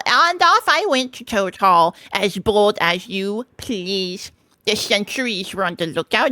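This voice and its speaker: A woman voicing a mischievous young male mole from a storybook, boasting gleefully about his exploits in a chatty, drawn-out way. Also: mid-range voice, pushed and bright, dry recording. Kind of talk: storybook character voice.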